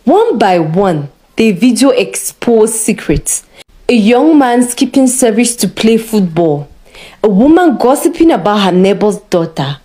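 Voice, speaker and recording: A woman narrating a scripted story in a measured, dramatic way. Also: mid-range voice, very animated, mellow.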